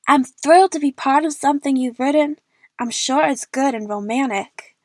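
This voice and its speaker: A woman's voice: high voice, moderate pace, no room sound, close to an everyday voice. A young woman playing an eager, chatty movie fan who narrates her adventure in the first person for an audio drama. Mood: gushing, stalling.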